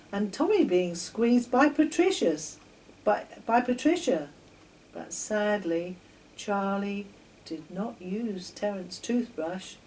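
A woman's voice: medium pitch, warm tone. A woman in her sixties reading a children's story aloud expressively, stumbling slightly now and then. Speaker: author reading her own children's stories. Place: home kitchen, indoors. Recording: distant mic, noticeable background noise.

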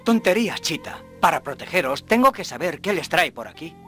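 A man's voice: a little high, big pitch swings, a little fast, bright, forceful tone, soft background music. An actor playing a sincere young jungle-raised wild man in a cartoon, plain and earnest. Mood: calm, dismissive. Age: young adult. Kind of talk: animated cartoon dub.

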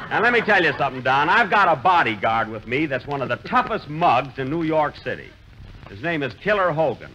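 A man's voice: fairly high pitch, very animated, moderate pace, sharp tone. A man hosting a 1940s radio comedy, self-important and indignant in his comic timing, recorded in a theater. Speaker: comedian host. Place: theater stage with live audience. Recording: lo-fi 1940s radio broadcast with hiss.

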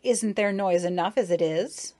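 A woman's voice: mid-range voice, moderate pace, dark tone, lightly acted. A woman voicing a strict old female bee teacher in a storybook, lecturing in an exasperated way. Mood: scolding. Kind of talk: children's storybook character reading.

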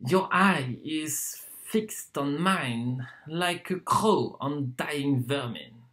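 A performer playing a proud wandering torturer in a theatrical monologue, speaking deliberately with dramatic pauses and swings. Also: mid-range voice, lively intonation, fairly bright tone. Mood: contemptuous. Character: proud wandering torturer from Poland, wheedling then contemptuous.

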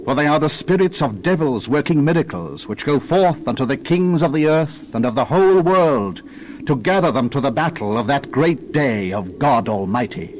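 A man narrates scripture in a solemn, dramatized style. His medium-pitched voice rises and falls expressively with deliberate, theatrical emphasis. There is clear background noise behind him. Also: animated. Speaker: Bible narrator.

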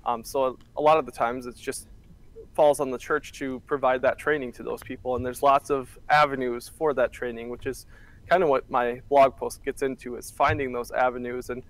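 A man's voice: mid-range voice, moderate pace, no echo, low-quality recording. Young man chatting on a video call. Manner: relaxed, chatty, frequent fillers and restarts. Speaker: guest, church media worker. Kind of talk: casual interview answers. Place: small office indoors. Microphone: webcam on a video call.

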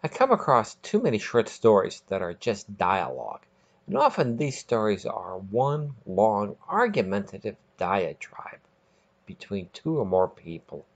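A man's voice: fairly low pitch, sing-song, unhurried, dull audio. A man hosting and narrating a scripted short story podcast in a dramatic, emphatic way.

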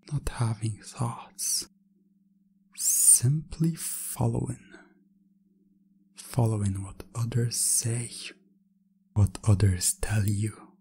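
A man narrating hypnosis ASMR in a breathy, half-whispered voice, with a repetitive, commanding, hypnotic manner. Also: on the low side, mellow. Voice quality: breathy, often dropping to a whisper.

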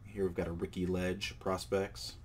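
A man with a cold hosting a card collecting channel, talking in a relaxed, rambling way with fillers and self-corrections while he shows cards at a table indoors. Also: low voice, moderate pace. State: has a cold. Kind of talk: casual show-and-tell vlog.

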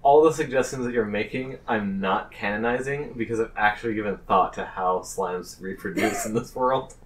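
A man narrating a tabletop game as game master, in a halting way with amused asides. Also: lowish voice. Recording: slightly distant mic, faint noise.

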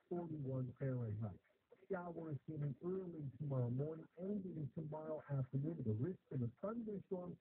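A man's voice: fairly high pitch, husky. A man reading a scripted weather forecast on the radio, recorded by phone in a car. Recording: tinny hissy car radio, phone capture.

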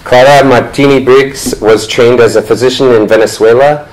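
A man's voice: medium pitch, steady tone, moderate pace, slight background noise, low-quality recording. A man in his thirties, the moderator at a talk, reading panelist introductions from notes and sometimes stumbling over words. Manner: reads from notes, stumbles and self-corrects. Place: lecture room, indoors.

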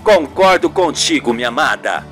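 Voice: mid-range voice, lively intonation, normal speed, a bit bright, soft background music, pitched below the performer's own voice, natural voice. A performer voicing a tender, devout boyfriend in an amateur radio soap, reassuring in solemn, florid phrases.

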